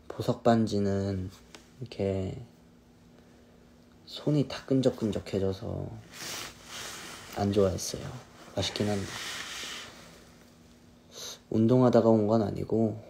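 A man in his thirties chatting casually on a phone live stream, answering viewers' questions in a relaxed, easygoing way. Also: on the low side, slow pace, creaky, pauses often, slight background noise. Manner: relaxed, answers viewers' questions, drifts between snacks and hobbies. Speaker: singer hosting a live stream. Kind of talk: casual live-stream chat reading comments.

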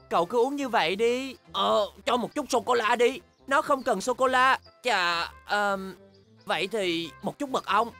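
A woman voicing an excitable, boastful young bear cub in a children's cartoon, with exaggerated, childlike delivery. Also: on the high side. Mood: eager, bickering. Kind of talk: animated children's cartoon dubbing.

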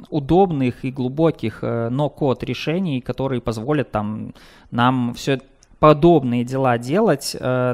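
A man's voice: medium pitch, vocal fry. Guy in his 30s doing a tech meetup talk, lots of ums, takes audience questions. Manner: hesitant, many filler sounds. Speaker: presenter at a developer meetup. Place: dim meeting room with a projector.

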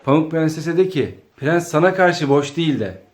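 A man with a high voice directs an improvised comedy sketch. He is bossy and playful, speaking casually in a roomy space with faint noise. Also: animated, normal speed. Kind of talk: giving stage directions, casual. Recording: echoey room with faint noise.

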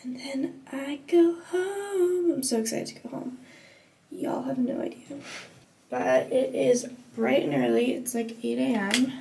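A young woman with a high, creaky voice chats casually to her camera. She sounds congested and sleepy from a cold and rambles informally. The camera audio is noisy, recorded in a hotel room. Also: flat delivery, measured pace, darkish tone. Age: young adult. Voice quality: stuffy, congested nose. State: stuffed up with a cold, just woke up. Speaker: vlogger. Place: small tiled hotel bathroom and hotel room. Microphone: camera at arm's length or propped nearby.

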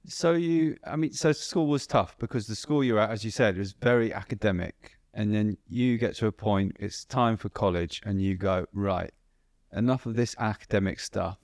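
A man's voice: on the low side, normal speed, few pauses, darkish tone, no echo, clean studio sound. A young man hosting a podcast, asking casual, playful questions and retelling his guest's story back to him. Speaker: podcast host. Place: bright living-room studio. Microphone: boom-mounted podcast mic.

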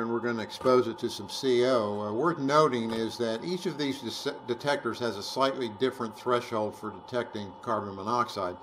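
A man in his sixties presenting a scripted product review in a workshop, brisk and plain-spoken with dry humor, on a lapel mic. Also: mid-range voice, fairly bright tone, slight background noise. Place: indoor workshop studio.

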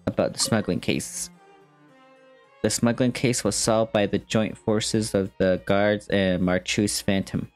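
A man's voice: fairly low pitch, fairly even intonation, measured pace. A young man doing a casual game playthrough, reacting and reading on-screen text aloud as a streamer. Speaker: gaming streamer. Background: faint game music.

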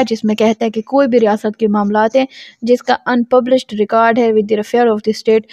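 A woman's voice: on the high side, a little mellow, no echo, dull audio. A woman with a Pakistani accent giving a law lecture, reading formal text aloud and explaining it in an instructional way, repeating her points.

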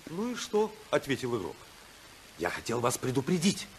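An actor in a 1950s Soviet radio drama playing an outspoken, idealistic political rebel, husky and oratorical, with a sardonic edge. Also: male voice, medium pitch, wildly swooping pitch, normal speed, slight background noise. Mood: wry, storytelling. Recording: 1950s radio play recording.

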